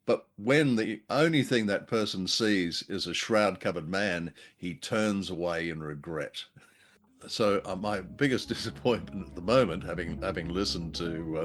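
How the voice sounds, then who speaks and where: Low-voiced man, hesitant and wry. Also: measured pace, fairly bright tone. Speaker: co-host and commentator. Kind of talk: conversational review of radio drama.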